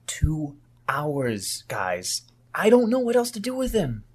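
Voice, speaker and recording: A man's voice: fairly high pitch, wildly swooping pitch, slow, slightly rough, full character voice. A man playing an uneasy host of a board game group, with casual, hesitant talk, in a naturalistic play reading.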